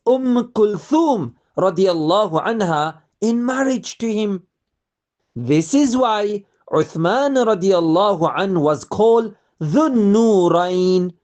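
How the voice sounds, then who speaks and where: A storyteller narrating a scripted religious tale for children in an expressive way, putting questions to the young listeners. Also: mid-range voice.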